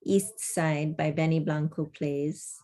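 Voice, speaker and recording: Low-pitched woman in a table read. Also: fairly even intonation, takes it slowly, mellow. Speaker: table-read narrator reading stage directions. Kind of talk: scripted stage-direction narration, then casual discussion. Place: virtual table read over video call. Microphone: video call.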